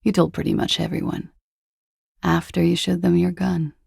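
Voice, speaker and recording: A woman playing a cool, evasive young former beauty queen in a video game, speaking in a languid, dry, sardonic way with a husky, breathy voice. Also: lowish voice, level tone, normal speed, darkish tone, no echo, full character voice. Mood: coolly sardonic. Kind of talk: video game dialogue, low-key and naturalistic.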